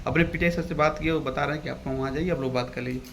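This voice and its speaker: A man's voice: a little high, fairly even intonation, rapid-fire. A young man gives casual, rambling, repetitive advice to his viewers as a vlogger, often addressing them as brother. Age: young adult. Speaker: vlogger, police recruit. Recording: clear ambient background noise.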